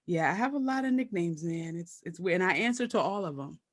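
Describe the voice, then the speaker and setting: A woman with a Brooklyn accent, a comedian guest chatting casually and telling animated stories with frequent laughter. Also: lowish voice, moderate pace, no room sound. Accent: Brooklyn, New York. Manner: laughs often, animated storytelling. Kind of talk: casual interview chat.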